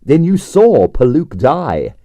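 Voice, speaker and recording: A man's voice: fairly high pitch, huge pitch swings, slow pace, warm tone, no room sound, voice pushed up, exaggerated voice. A man voicing an earnest young police detective with polite, firm questioning.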